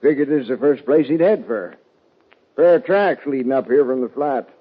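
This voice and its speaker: A man's voice: medium pitch, breathy edge, natural voice. Folksy sheriff on tinny radio man acting. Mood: grave. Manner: folksy drawled phrasing, deliberate. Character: folksy, suspicious, plain-spoken male western sheriff. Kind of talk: 1950s western radio drama acting. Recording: thin, narrow-band old radio recording.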